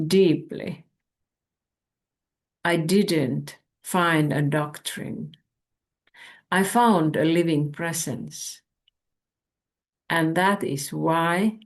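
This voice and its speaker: A woman with Finnish as her first language, speaking on spirituality in a calm, contemplative manner. Her voice sits low and carries a gravelly fry. She takes her time, with a very unhurried pace and long pauses. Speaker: spiritual channel host. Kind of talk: reflective spiritual talk.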